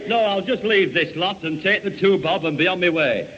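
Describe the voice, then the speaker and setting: A man's voice: sits high, moderate pace, a bit bright. An actor playing an exasperated, sarcastic father with a Northern English accent, grumbling and threatening in a radio sitcom. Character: exasperated, threatening working-class father, sarcastic grown man. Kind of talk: 1970 radio sitcom acting. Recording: muffled noisy vintage radio recording.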